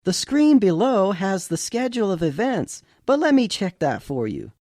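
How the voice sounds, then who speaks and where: A man's voice: high-pitched, very animated, normal speed, darkish tone, no room sound, clean studio sound, voice pushed up. A man doing a young information-desk woman. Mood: polite, helpful. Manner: polite, helpful, apologetic.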